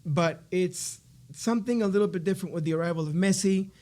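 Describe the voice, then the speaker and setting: A man from Argentina co-hosting a casual podcast, joking and energetic as he leads the questions. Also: high-pitched, quick pace. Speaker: podcast co-host. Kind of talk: casual chat. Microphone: studio podcast mic.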